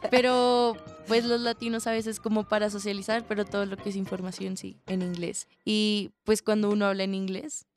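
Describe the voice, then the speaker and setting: A woman's voice: medium pitch, flat delivery, normal speed, few pauses. Young colombian woman, podcast guest, chatty storyteller, faint music underneath. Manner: chatty, laughing often, acts out calls. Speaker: call center agent, guest. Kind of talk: casual podcast conversation.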